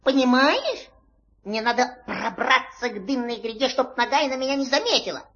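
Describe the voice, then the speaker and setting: High-voiced plucky boy mongoose. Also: lively intonation, normal speed, a bit bright. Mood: impatient, commanding. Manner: boastful, impatient. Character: brave, bossy young male mongoose hero. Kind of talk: Soviet audio fairy-tale acting on record. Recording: dull old vinyl record sound.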